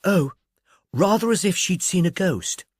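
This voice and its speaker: A man's voice: sits high, expressive, unhurried, husky, dry recording, studio quality, pitched above the performer's own voice, full character voice. A man voicing an agreeable, candid young film actress with a breezy, gossipy manner in an audiobook.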